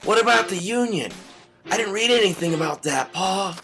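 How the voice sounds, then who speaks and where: Man playing a whiny, high-voiced pleading boy. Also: animated, normal speed, bright, forceful tone, soft background music, heavily acted. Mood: pestering, anxious. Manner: pleading, insistent. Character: whiny, pestering young son, a naive boy. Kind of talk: audio drama acting.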